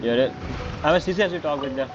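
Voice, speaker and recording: Guy streaming a game, informal stop-start talk, bit dull mic, music in back. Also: on the high side. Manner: halting, informal. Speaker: gaming streamer. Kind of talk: casual gameplay commentary.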